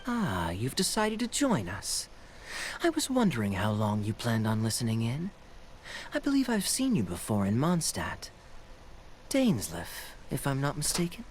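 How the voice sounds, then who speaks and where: A man playing a suave, teasing young knight in an anime game, with a smooth, playful, theatrical delivery. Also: medium pitch, wildly swooping pitch, a little slow, slight background noise, poor audio. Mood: sly, amused.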